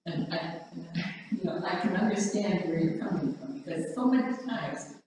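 Echoey, distant, mellow low-voiced Norwegian-speaking woman. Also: lively intonation, moderate pace. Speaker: participant in a prayer vigil. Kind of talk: hesitant sharing in a group discussion. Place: large room at a spirituality center. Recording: echoey hall, distant mic, faint noise.

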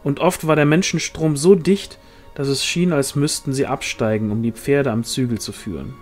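A man's voice: mid-range voice, moderate pace, a little mellow. A man reading a fantasy novel aloud as scripted audiobook narration. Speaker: audiobook reader. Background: music.

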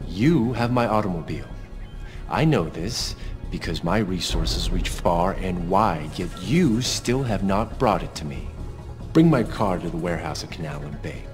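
An actor playing a menacing, coldly polite crime boss in a video game, speaking in a deliberate, formal, threatening way. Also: male voice, low-pitched, animated, music in the background. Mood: weary threat. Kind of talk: video game cutscene acting.

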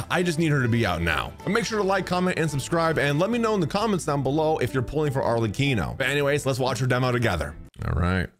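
A young man hosting a casual game trailer reaction, excitable and slangy, on a close microphone. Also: mid-range voice, fast talker, hardly any pauses, soft background music. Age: young adult. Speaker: YouTube reaction host and streamer. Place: small home streaming room. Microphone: close boom-arm microphone.

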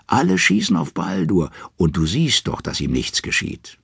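A man's voice: low voice, huge pitch swings, moderate pace, vocal fry, fairly bright tone, breathy edge, no echo, studio quality, full character voice. A man voicing a scheming, two-faced trickster god in an audio saga, wheedling and manipulative. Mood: sly, coaxing.

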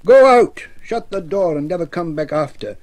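A man's voice: medium pitch, animated, normal speed, warm tone, slightly rough. A man voicing a merry, fearless old woodland man in a rhymed poem, bold and sing-song. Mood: commanding.

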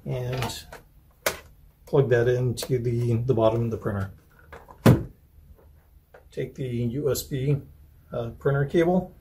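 A man in his forties giving step-by-step hardware setup instructions, pausing as he works with his hands. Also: mid-range voice. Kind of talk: step-by-step product setup tutorial, demonstrating. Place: small office indoors. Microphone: camera a few feet away. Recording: distant echoey room mic, loud handling noise.

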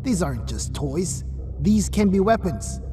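Man playing a commanding, animated fighter. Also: high voice, measured pace, soft background music. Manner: barking orders. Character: gung-ho, tough, commanding male fighter. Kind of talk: audio drama acting.

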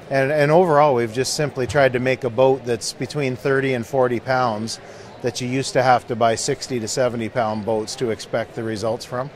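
A man in his thirties explains his product in an earnest, steady way, sounding a little worn down at the end of a long trade show. His voice sits at a middle pitch, and a handheld mic is held close to him at the booth. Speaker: canoe company owner. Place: busy indoor expo hall booth. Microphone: handheld mic held by interviewer.

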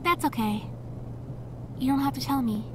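Woman acting high-pitched, emotional young woman. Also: moderate pace, noisy background, close to an everyday voice. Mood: perky, friendly. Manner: dramatic, emotional delivery. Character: young woman in withdrawal, plucky but desperate when locked up. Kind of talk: visual novel character acting.

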